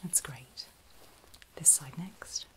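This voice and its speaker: A woman whispering gently in an ASMR medical roleplay, giving reassuring step-by-step instructions as an examiner, in a soft breathy voice. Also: low voice, extremely slow. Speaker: ASMR performer playing a pre-op medical examiner.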